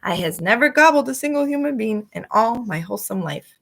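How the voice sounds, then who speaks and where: A woman's voice: lively intonation, a little fast, creaky, fairly bright tone, full character voice. A woman voicing a huge male giant who screeches and begs in terror during a nightmare, in a storybook reading. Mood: pleading. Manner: shrill, pleading, frantic.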